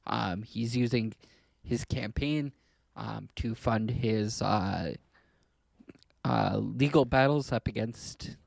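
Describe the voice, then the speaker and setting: A man's voice: fairly low pitch, takes it slowly, vocal fry, dry recording, clean studio sound. A man from Canada co-hosting a podcast, talking politics casually in a hesitant way, stumbling over his words. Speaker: podcast co-host.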